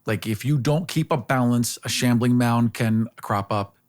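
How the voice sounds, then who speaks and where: A man's voice: mid-range voice, moderate pace. A man on a podcast panel chatting casually, with a jokey, easygoing way of talking. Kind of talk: casual conversation.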